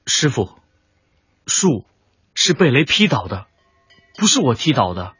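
A man's voice: mid-range voice, big pitch swings, unhurried, fairly bright tone, slightly rough, no room sound, muffled recording, soft background music, heavily acted. An actor playing a young male martial arts disciple, earnest, questioning and puzzled, in a Chinese radio drama.

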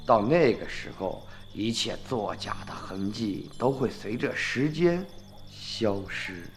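An actor playing a scheming, treacherous tomb robber in a radio drama, coaxing and calculating. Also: male voice, lowish voice, expressive, slow, soft background music. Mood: sly, calculating.

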